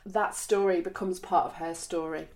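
A woman hosting an interview, relaxed and conversational as she introduces her guest. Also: fairly low pitch, normal speed. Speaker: radio show host.

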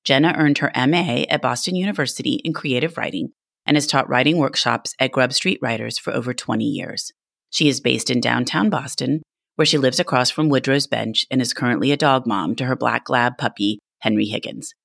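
A woman's voice: rumbling low, a little fast, vocal fry, no echo, clean studio sound. A woman hosting a podcast, speaking in a presenter's interview and introduction style. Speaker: podcast host. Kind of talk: scripted intro and book interview.

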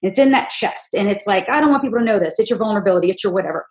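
A woman hosting a podcast in casual chat, with a deep, gruff voice, talking loudly and in long, winding stories. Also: animated, racing. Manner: loud, opinionated, rambling storyteller. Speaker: podcast host. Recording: roomy echo.

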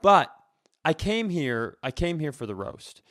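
A man's voice: on the high side, unhurried, dry recording, clean studio sound. A man co-hosting a comedy podcast, ranting casually with profane, sarcastic, storytelling delivery. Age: adult, parent of young child. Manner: profane, sarcastic, animated storytelling with mimicked quotes.

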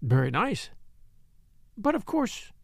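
A man voicing a shrewd doctor's wife with a brisk, dry way of speaking. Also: high voice, huge pitch swings, creaky, dry recording, pitched above the performer's own voice, exaggerated voice.

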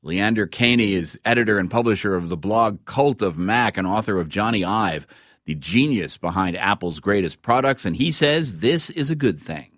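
A man presenting and interviewing as a radio host, with measured, wry and formal phrasing. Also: on the low side, expressive, a bit bright, no echo, clean studio sound.

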